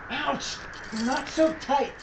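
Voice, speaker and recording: An actor playing a wry Old West gunfighter in an audio drama, with a sardonic, deliberate manner. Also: male voice, very high-pitched. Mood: pained panic. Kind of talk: Western audio drama acting. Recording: heavy background noise.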